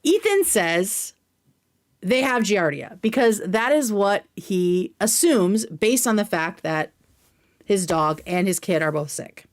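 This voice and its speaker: A woman's voice: medium pitch, expressive, slow pace. A woman hosting a casual livestream commentary, speaking in a sarcastic, emphatic way with plenty of slang. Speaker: livestream host.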